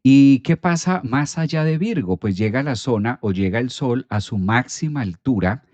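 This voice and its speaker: A man giving a calm, explanatory astrology talk during a live stream, with an occasional filler "eh". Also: mid-range voice, normal speed, no echo.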